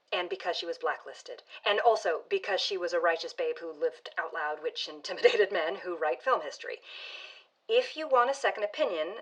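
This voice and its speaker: Young grad student, rather low voice, wry, outspoken, conversational storytelling. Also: female voice, rough recording. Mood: enthusiastic. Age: young adult. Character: frank young woman, film grad student telling her story.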